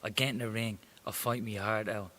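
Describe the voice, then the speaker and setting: A man's voice: on the low side, fairly even intonation, fairly quick, sharp tone. A young Irish man, a professional boxer, talking confidently and plainly in an interview. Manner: confident, swaggering, plain-spoken. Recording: faint hiss.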